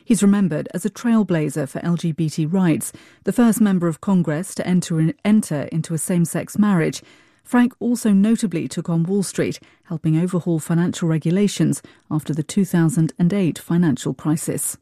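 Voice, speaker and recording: A woman's voice: fairly low pitch, a little fast, dark tone, dry recording, clean studio sound. A woman reading a scripted radio news bulletin.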